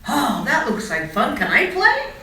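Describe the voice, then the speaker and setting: A woman's voice: sits high, huge pitch swings, measured pace, sharp tone, pitched above the performer's own voice, exaggerated voice. A woman voicing a young male caterpillar in a storybook, eager and easily hurt, with a childlike, exclamatory way of talking. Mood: eager, hopeful. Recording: echoey room, distant mic, faint noise.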